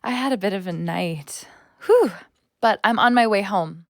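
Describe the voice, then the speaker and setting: A woman's voice: medium pitch, lively intonation, moderate pace, no echo, studio quality, lightly acted. A woman playing a scatty, friendly, tipsy woman in an audio play, loose and slightly muddled in manner. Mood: friendly, flustered. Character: scatty, friendly, tipsy woman wandering home after a night out.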